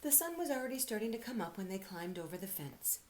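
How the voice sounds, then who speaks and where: A woman giving an expressive read-aloud for children as a storyteller and teacher. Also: medium pitch, fast talker, background noise.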